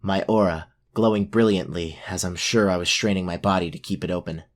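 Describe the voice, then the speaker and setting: A man's voice: low voice, fairly even intonation, fairly quick, lightly acted. A man playing a brave but self-doubting young fantasy warrior, narrating his own story in an audio drama. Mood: earnest narration.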